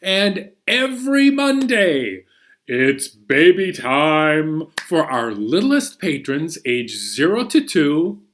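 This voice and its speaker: American man, children's story time host, slightly high voice, unhurried pace, playful, drawn-out delivery. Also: big pitch swings, a bit bright.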